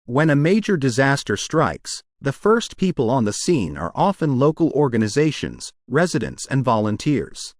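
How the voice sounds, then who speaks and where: A man narrates short study passages in a measured, deliberate way. His intonation moves freely up and down across each phrase. The sound is dry and close, with no room around it. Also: mid-range voice, big pitch swings, no room sound. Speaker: narrator of English test practice passages. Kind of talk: scripted read-aloud narration.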